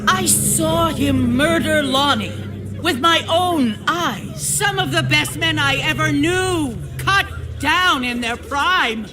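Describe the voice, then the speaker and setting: An actress playing a cold, ruthless survivor town leader in a video game, theatrical, menacing and mock-sincere. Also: female voice, sits high, slow pace, music in the background, crowd noise. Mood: mock sorrow. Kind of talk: dramatic video game acting.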